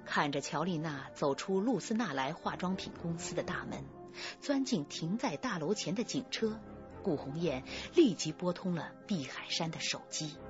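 A woman's voice: lowish voice, expressive, moderate pace, muffled recording, music in the background. A woman reading scripted narration for a radio drama.

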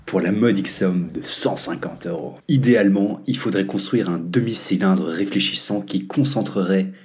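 Low-voiced man narrating a DIY tutorial. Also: normal speed, husky. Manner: relaxed, friendly, mixes slang with instructions. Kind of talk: casual DIY tutorial voice-over. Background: faint music.

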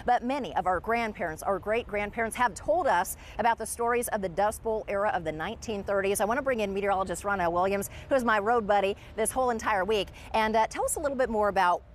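A woman's voice: mid-range voice, quick pace, hardly any pauses, slight background noise. A middle-aged woman doing live weather reporting as a TV meteorologist, conversational and explanatory, on a clip-on mic outdoors. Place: outdoors on a sunny street and parking lot. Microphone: clip-on lapel mic.

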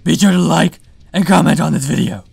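A man playing a brooding, boastful masked superhero in a parody vlog, with a raspy growl and a deadpan, self-important delivery. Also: on the high side, brisk, dry recording, faint music behind, full character voice. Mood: smug. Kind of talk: parody vlog monologue to camera.

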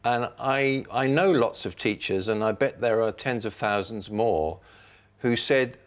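Low, measured man reviewing. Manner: measured, articulate, formal phrasing. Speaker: panel reviewer. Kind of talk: considered spoken book review.

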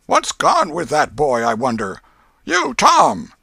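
A man voicing an exasperated but soft-hearted old country aunt in an audiobook, with a rural Southern American accent and folksy, fretful scolding. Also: a little high, very animated, normal speed, creaky, breathy edge, no echo, clean studio sound, voice pushed up, heavily acted. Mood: calling, vexed. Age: older. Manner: folksy scolding and fretting, dropped g's. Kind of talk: audiobook character voice.

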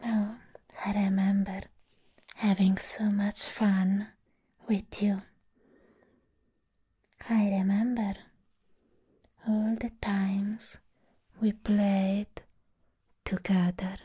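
A young woman performing a hushed, whispered ASMR roleplay as a sweetly possessive living doll, pausing deliberately, close to the microphone. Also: medium pitch, level tone. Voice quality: breathy whisper. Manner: slow, hushed, deliberate, with long pauses.

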